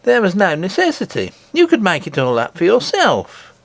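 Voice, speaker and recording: Guy doing a storybook nanny lady, high voice, fussy n sarcastic, really swoopy. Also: huge pitch swings, vocal fry, no room sound, exaggerated voice. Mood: dismissive sarcasm. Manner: brisk, dismissive, sarcastic. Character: fussy, sceptical, scolding grown woman nursemaid.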